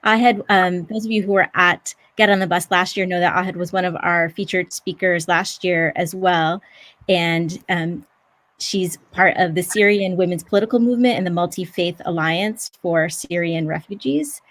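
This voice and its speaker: A woman moderating an online event, introducing speakers in a measured way over a video call. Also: lowish voice, fairly bright tone.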